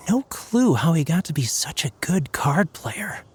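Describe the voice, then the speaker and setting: A man voicing an ordinary young card player in an animated game, with a husky voice and a casual, grumbling manner. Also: a little high, measured pace, exaggerated voice. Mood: deflated.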